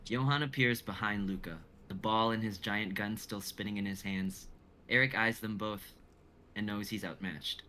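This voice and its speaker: Low, bright-voiced young male narrator. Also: steady tone, moderate pace, slight background noise. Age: young adult. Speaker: narrator reading stage directions. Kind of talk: dramatic scripted narration, read live.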